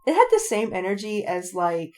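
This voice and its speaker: A woman's voice: mid-range voice, sing-song, normal speed, darkish tone, soft background music. A woman co-hosting a podcast, chatting casually about fan interests in a loose, rambling way. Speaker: podcast co-host.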